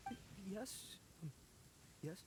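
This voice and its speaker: An actor playing a cowardly, hapless male bandit in a video game, nervous and quick to waver. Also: background noise, lightly acted. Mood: nervous, sheepish. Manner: nervous, hesitant, stammering, pleading. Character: cowardly, hapless bandit, bluster turning to pleading. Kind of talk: English video game voice acting.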